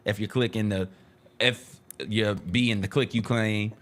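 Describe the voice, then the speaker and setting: A man's voice: lowish voice, fairly even intonation, unhurried, fairly bright tone. An American man co-hosting a talk show with casual, slangy commentary, emphatic and often repeating himself.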